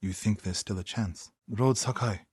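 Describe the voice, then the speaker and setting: A devout warrior monk for a video game. His voice is fairly low and husky, recorded close and dry. He speaks formally and earnestly, with solemn loyalty and the weight of grief. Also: normal speed, darkish tone, dry recording. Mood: mournful. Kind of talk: dramatic video game dialogue.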